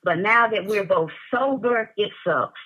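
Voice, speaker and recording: A woman's voice: medium pitch, animated, a little slow, fairly bright tone, breathy edge, lo-fi sound. A woman in her forties calling in, talking candidly and laughing, with dramatic exclamations. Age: 40s. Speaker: caller. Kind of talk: casual chat.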